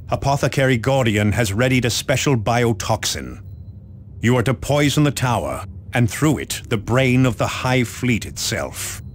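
An actor playing a grave, stoic space marine captain who gives formal, measured orders in a video game. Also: male voice, medium pitch, slight background noise. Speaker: military commander. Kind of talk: video game mission briefing, dramatic acting.

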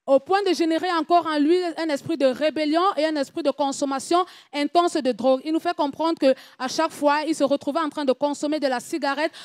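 A woman with a high voice works as an interpreter in church. She relays each statement in a steady, measured way. The recording is dry and close. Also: no echo. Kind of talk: French interpretation of testimony.

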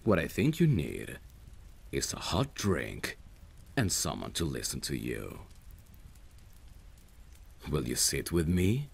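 Very deep, gravelly, tender male friend played by a man. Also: wildly swooping pitch, exaggerated voice. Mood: tender, concerned. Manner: tender, soothing, unhurried. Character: protective lovesick male friend, gentle and devoted. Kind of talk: ASMR romantic roleplay, slow intimate delivery.

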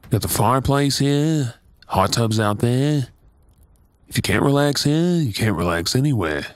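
An affectionate, caring Australian husband, acted by a man in an intimate ASMR roleplay. He talks very slowly and softly, with a lively, moving pitch and a playful, teasing streak. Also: dry recording. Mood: relaxed, playful.

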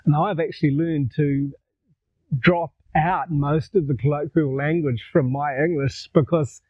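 An older man with a New Zealand accent talks easily to camera, telling stories in a relaxed, chatty way with a slightly raised voice. The lapel recording sounds narrow and tinny, like a phone line. It is dry, with no room echo. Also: a little high, a little slow. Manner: relaxed, conversational, gestures while talking. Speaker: vlogger. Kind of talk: casual storytelling to camera. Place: outdoors in a garden. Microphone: lapel mic.

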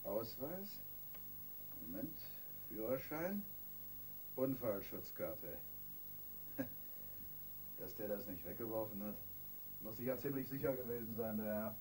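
An actor playing a wry, self-assured police detective in a radio crime drama, colloquial and needling. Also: male voice, on the low side, lively intonation, slow pace, pauses often, warm tone, low-quality recording. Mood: wry, pleased. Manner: colloquial, sardonic. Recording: radio play with faint noise.

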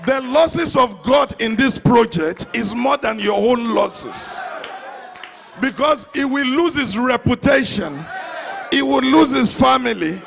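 A man's voice: very high voice, animated, takes it slowly, gravelly, a bit bright, a little hiss or hum. A middle-aged man with a Nigerian accent preaching an impassioned, emphatic sermon into a handheld microphone, repeating phrases for effect. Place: large church hall before a congregation. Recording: live church PA with faint crowd.

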